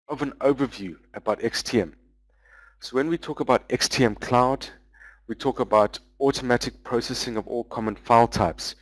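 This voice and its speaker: A man giving a webinar presentation, walking through software features in a loose, explanatory way with frequent pauses. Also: medium pitch, no echo, rough recording. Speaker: business development manager presenting a webinar.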